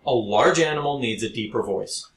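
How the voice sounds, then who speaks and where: A man's voice: medium pitch, normal speed. A man co-hosting a podcast in casual conversation. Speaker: podcast co-host. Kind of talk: casual chat. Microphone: podcast recording with headphones. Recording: roomy echo, noticeable background noise.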